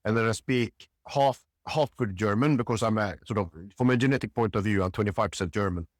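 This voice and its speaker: Middle-aged man, lowish voice, dry close sound, hesitant and chatty. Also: dry recording. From Sweden. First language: Swedish. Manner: halting, restarts often, frequent "yeah". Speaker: podcast guest. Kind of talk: casual interview conversation.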